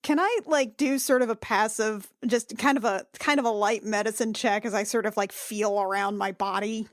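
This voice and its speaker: A woman's voice: medium pitch, steady tone, moderate pace, no echo, clean studio sound. A woman playing a tabletop roleplaying game, describing actions in a casual way. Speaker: player.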